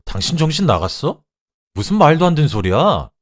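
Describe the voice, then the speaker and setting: A man's voice: fairly low pitch, sing-song, moderate pace. A man acting a cheating middle-aged husband, dismissive and blustering, in a dramatized story. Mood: indignant.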